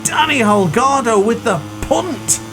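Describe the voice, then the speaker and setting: A man commentating his own racing game run in a chatty, excitable way, with a sore throat. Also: very high-pitched, measured pace, background noise. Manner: excitable, chatty, exclaims at crashes. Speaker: gaming commentator. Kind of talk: live gameplay commentary.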